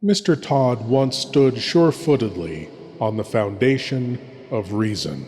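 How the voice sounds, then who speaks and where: A man hosting a horror show with theatrical scripted narration, ominous and deliberate. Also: medium pitch, expressive, a little mellow. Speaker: host. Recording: long cavernous reverb.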